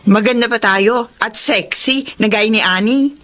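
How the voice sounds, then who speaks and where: A woman's voice: fairly high pitch, measured pace, music in the background, lightly acted. A woman with a Filipino accent playing a gossipy young friend who nudges people into dates in a Tagalog radio romance drama. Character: gossipy young female friend, nudging matchmaker.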